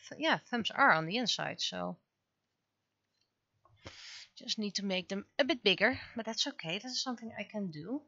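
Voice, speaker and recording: A woman with a bright, forward voice talks casually as she draws on a livestream. She muses half to herself and lets thoughts trail off. The recording is dry and close, a little dull. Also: medium pitch, animated, moderate pace, gravelly, pauses often, no room sound. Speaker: livestreaming webcomic artist. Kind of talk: casual livestream chat while drawing.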